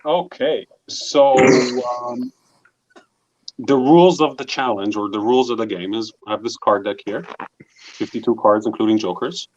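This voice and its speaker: A man's voice: mid-range voice, measured pace, slight background noise. A man hosting a casual live stream, introducing guests and joking with them. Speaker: stream host. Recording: dull lo-fi audio.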